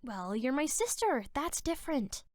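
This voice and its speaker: A woman's voice: high voice, big pitch swings, normal speed, a little mellow, no echo, studio quality. A woman voicing a serene new age teenage girl in a cartoon, airy, flowery and affected in manner.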